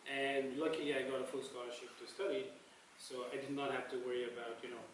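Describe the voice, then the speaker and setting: A man from Nepal, a tech founder, telling his story in a relaxed onstage interview and chuckling as he talks. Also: medium pitch, fast talker. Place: event venue, echoey room. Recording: echoey room, far mic, background noise.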